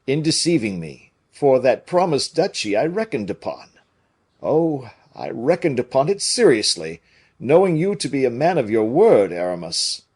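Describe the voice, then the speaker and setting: A man reading an audiobook, voicing a big, blustering, naive but good-hearted nobleman with loud, emphatic exclamations. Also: medium pitch, sing-song, moderate pace, breathy edge, pitched above the performer's own voice. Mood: reproachful.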